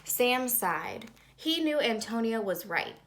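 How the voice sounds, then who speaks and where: A woman reading a children's storybook aloud in an expressive, dramatic, suspenseful way. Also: fairly high pitch, slow pace, background noise. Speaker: storybook reader.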